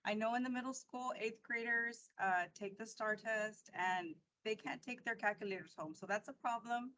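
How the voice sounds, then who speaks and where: A hoarse woman, a middle school math teacher, presenting a teaching tool on a video call in a relaxed, explaining way. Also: on the high side, normal speed, slight background noise, low-quality recording. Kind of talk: presenting a teaching tool in an online training session.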